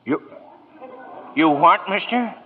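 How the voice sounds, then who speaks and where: A man's voice: high-pitched, expressive, slow pace. A man playing a plain-spoken, easily spooked small-town bridgekeeper in a folksy way, in old-time radio drama. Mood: baffled. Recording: tinny vintage radio, faint noise.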